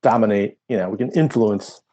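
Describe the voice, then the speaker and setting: A man's voice: fairly low pitch, brisk, mellow. A man co-hosting a podcast, talking through current affairs in a casual, conversational way. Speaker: podcast co-host.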